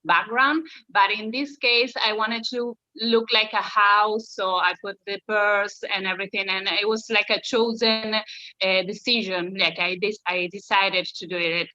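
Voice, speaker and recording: Bright-voiced woman on a dull video call. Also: mid-range voice, steady tone, no room sound. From Argentina. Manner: friendly, eager, fast talking with fillers. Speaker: acting challenge participant. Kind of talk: casual chat on a video call.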